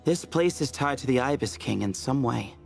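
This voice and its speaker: A man's voice: mid-range voice, expressive, moderate pace, vocal fry, music in the background. A man playing an easygoing young man in a video game, speaking casually. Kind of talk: video game character acting.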